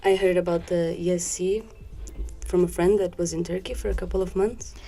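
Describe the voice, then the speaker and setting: A woman's voice: on the low side, fairly even intonation, a little fast, gravelly, dark tone, faint music behind. A woman in her twenties, born in Brazil and raised in Portugal, chatting casually as a guest and laughing often. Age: 20s. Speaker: guest, former volunteer. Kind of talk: casual interview chat.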